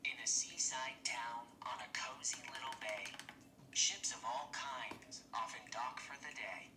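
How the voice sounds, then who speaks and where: A man's voice: mid-range voice, a little slow, roomy sound, distant mic, over music. A man narrating a rhyming picture book from a script, heard played back through a small speaker. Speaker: audiobook narrator.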